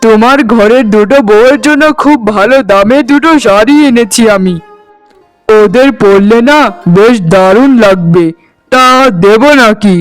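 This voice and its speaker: A man's voice: high-pitched, measured pace, smooth, soft background music, poor audio. A man playing a friendly, chatty village shopkeeper in a cartoon, coaxing a customer. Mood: friendly, inquisitive. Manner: chummy, coaxing. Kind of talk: cartoon dialogue.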